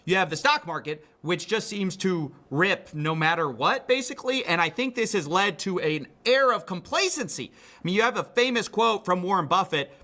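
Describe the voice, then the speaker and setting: Man, high-pitched, bright forceful tone, conversational finance commentary, emphatic, incredulous. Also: animated, moderate pace. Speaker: online video commentator.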